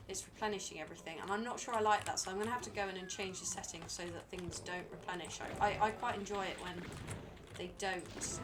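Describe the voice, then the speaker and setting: A woman's voice: mid-range voice, noisy background. A British woman streaming a game, talking to herself and chatting to viewers in a dry, rambling way. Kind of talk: live gameplay commentary.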